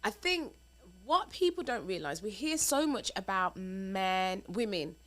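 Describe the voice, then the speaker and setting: A woman's voice: medium pitch, unhurried. A woman in her forties from England, talking expressively with her husband and telling stories. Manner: chatty, expressive storytelling. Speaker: co-host. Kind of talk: casual conversation with her husband.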